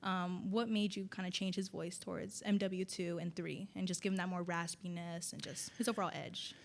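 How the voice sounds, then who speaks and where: A woman's voice: lowish voice, little pitch movement, moderate pace, vocal fry. A woman in an audience casually asking a fan question at a panel. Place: convention panel.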